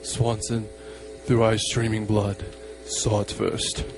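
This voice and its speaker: A man narrating an amateur horror radio play in a solemn, measured, portentous way. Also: fairly low pitch, completely flat delivery, over music, low-quality recording.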